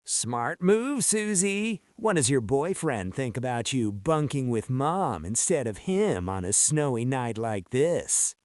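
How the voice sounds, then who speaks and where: A man's voice: medium pitch, very animated, slow, few pauses, darkish tone, no room sound, studio quality. A man playing a businesslike, friendly local radio news anchor of the 1970s, reading news and weather. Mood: friendly, chatty.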